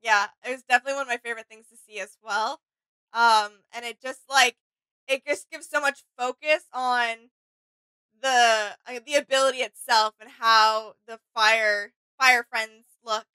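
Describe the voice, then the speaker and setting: A woman's voice: a little high, fairly even intonation, moderate pace, bright, forceful tone, clear, resonant tone, poor audio. A woman, chatting casually as co-host of a reaction. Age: 20s. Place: small home gaming room, indoors.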